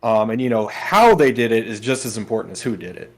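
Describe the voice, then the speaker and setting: A man's voice: lowish voice, expressive, fast. A man chatting casually as a let's play co-host, explaining things in a hesitant, thoughtful way. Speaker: let's play co-host voicing game characters. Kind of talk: casual gaming chat. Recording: background game music. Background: game music.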